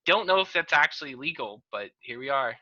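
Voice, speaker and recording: Bright, forceful man on a lo-fi call. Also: mid-range voice, animated, a little fast, no echo. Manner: dry, teasing wisecracks. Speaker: host. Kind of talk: casual chat over video call.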